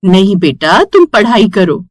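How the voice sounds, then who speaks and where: A woman voicing a kind, hardworking mother who runs a food stall in an animated moral story, caring and reassuring. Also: mid-range voice, unhurried, dry recording, studio quality.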